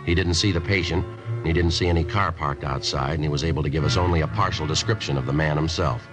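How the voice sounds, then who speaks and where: An actor playing a stoic, methodical American police detective, narrating a radio crime drama in terse, deadpan, matter-of-fact phrases. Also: male voice, extremely low pitch, fast talker, over music. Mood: flat, factual.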